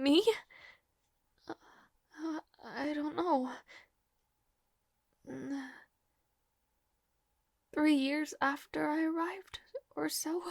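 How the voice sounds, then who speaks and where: A woman playing a nervous, lovestruck young maid in a romantic audio roleplay, halting and emotional, putting herself down. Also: sits high, dry recording, exaggerated voice. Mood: shy, tender. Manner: halting, stammering, breathy pleading delivery.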